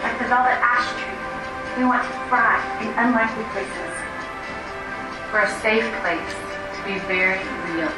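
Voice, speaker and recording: A woman giving a slow, earnest scripted voice-over in a promotional video. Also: a little high. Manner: slow, earnest, repeated phrasing. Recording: video played in a hall, far off, with music.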